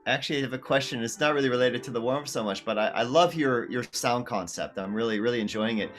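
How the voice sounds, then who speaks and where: A man in an online class asks the teacher a question in a quick, eager rush. Faint music plays behind his voice on the call. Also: mid-range voice. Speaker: masterclass participant asking a question.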